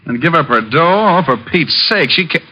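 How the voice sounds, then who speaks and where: An actor playing a wisecracking private detective, breezy and sardonic, in 1940s radio detective style. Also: male voice, a little high, lively intonation, normal speed, bright, forceful tone, exaggerated voice. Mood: exasperated. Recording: muffled old radio recording, music behind.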